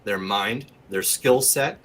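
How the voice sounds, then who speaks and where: A man's voice: fairly low pitch, slow, a bit bright. A man speaking emphatically and with passion as a guest in a casual interview, swearing freely. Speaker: actor and acting coach, guest.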